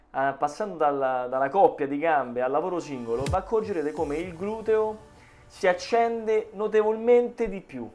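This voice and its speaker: A man in his thirties from Italy giving informal, encouraging exercise instruction as a personal trainer. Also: high voice. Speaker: personal trainer and fitness channel host. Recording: music behind the voice. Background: background music.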